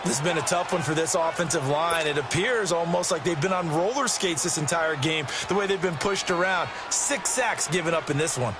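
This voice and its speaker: An emphatic American football analyst voiced by a man explains the game like a TV color commentator. His voice is somewhat high for a man. Clear noise from a game-stream capture runs behind him. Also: brisk, hardly any pauses, natural voice. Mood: emphatic, explaining.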